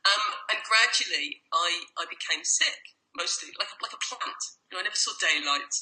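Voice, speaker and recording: A woman's voice: lowish voice, lively intonation, moderate pace, sharp tone, low-quality recording. A woman with an English accent, a guest life coach chatting casually in an interview over a call, with many restarts. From Ireland. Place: home in a noisy city.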